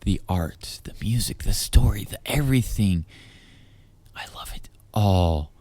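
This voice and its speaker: A man speaking in a hushed, teasing, deliberate way straight to the listener, as in an intimate audio roleplay, close on the mic. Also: on the low side, animated, no room sound. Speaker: voice actor.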